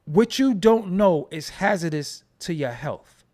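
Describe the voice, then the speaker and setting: A man's voice: high voice, lively intonation, a little slow, darkish tone. A man with a New York accent giving loose, profane commentary and often asking "you know what I'm saying". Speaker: commentary vlogger. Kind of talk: casual commentary.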